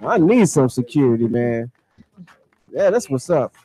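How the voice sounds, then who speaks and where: Relaxed, casual American man hosting radio. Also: mid-range voice, measured pace. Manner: informal, slangy, repeats phrases. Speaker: radio show host. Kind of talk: casual interview chat.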